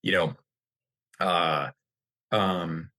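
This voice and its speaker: American male expert interview guest, lowish voice, halting and wordy delivery. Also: steady tone, sharp tone, dry recording, studio quality. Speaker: interview guest, healthcare industry executive. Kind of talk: informal expert discussion.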